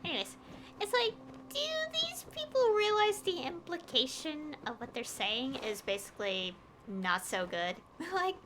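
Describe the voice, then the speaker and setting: A woman chatting casually as she livestreams a video game, halting and often circling back to rephrase herself. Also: high-pitched, sing-song, fairly bright tone, poor audio. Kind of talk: casual rambling chat while gaming. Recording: clear background noise behind stream voice.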